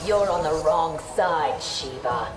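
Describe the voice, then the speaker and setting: Low-voiced, cocky cyborg fighter. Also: female voice, lively intonation, slow pace. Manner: taunting, self-assured. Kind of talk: fighting game character dialogue. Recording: game audio with music behind.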